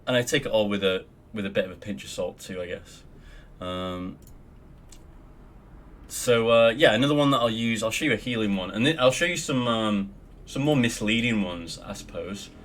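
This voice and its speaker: Low-voiced Australian man, rambling gamer tutorial. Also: a bit bright. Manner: rambling and offhand, frequent ums, casual swearing. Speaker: guild member teaching raid logs. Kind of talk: casual screen-recorded tutorial.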